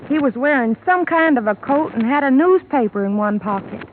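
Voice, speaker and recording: An actress playing a frightened rural housekeeper who speaks in plain, reluctant country speech, in an old radio drama. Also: female voice, sits high, animated, brisk. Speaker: witness. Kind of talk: 1950s radio drama acting. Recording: tinny lo-fi old radio broadcast with hiss.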